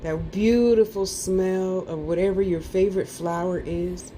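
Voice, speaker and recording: A woman's voice: lowish voice, steady tone, unhurried, mellow, slight background noise, poor audio. A woman leading a guided meditation class with soothing, informal instructions, playful asides and lulling repeated phrases.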